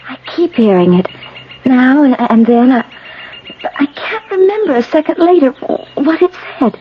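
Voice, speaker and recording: A muffled old radio broadcast with faint noise carries a fearful young captive. Her voice is high, earnest and halting, full of pleading emotion. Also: lively intonation. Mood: anxious. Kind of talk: old-time radio drama acting.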